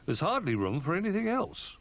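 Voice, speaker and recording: A man voicing a kindly, careful old toy bear in a children's storybook, speaking in a measured, thoughtful way. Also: a little high, huge pitch swings, vocal fry, a bit bright, voice pushed up, exaggerated voice.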